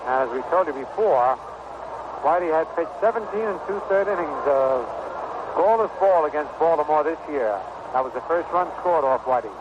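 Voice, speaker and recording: A man's voice: fairly high pitch, expressive, normal speed. An American man calling baseball play-by-play in clipped phrases, in the style of 1960s radio sports commentary. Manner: clipped, short descriptive phrases. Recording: noisy, muffled old AM radio broadcast. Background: loud ballpark ambience and static.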